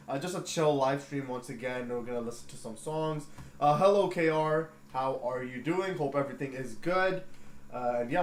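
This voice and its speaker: A man hosting a casual livestream, rambling and easily excited. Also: mid-range voice. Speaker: livestream host. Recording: echoey room with faint noise.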